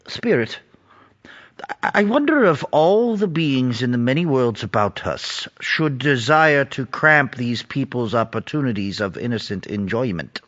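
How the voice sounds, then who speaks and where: A man's voice: mid-range voice, animated, measured pace, fairly bright tone. An actor playing an earnest, humbled older man in an audio play, speaking theatrically on a dull recording. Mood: earnest wondering.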